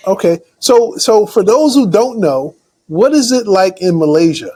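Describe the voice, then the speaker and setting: A man's voice: high voice, expressive, a little slow, darkish tone, husky. A man hosting an interview show, asking questions hesitantly and often repeating his words. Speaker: show host.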